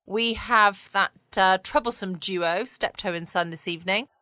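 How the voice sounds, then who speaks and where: A woman's voice: medium pitch, a little slow, pushed and bright, no room sound. A woman chatting casually and wryly as the host of a late-night bedtime podcast. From United Kingdom.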